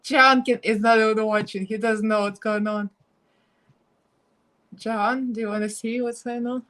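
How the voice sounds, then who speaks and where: A woman's voice: fairly high pitch, steady tone, moderate pace, fairly bright tone. A woman with a Russian accent chatting casually and giggling as she does her makeup live for viewers.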